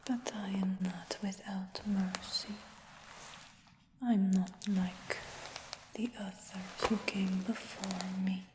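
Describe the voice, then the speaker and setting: A woman voicing a calm, scheming female dragon hunter in an ASMR fantasy roleplay, in a slow, soft whisper. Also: medium pitch, background noise, close to an everyday voice. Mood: softly menacing. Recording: reverberant whisper with long echo.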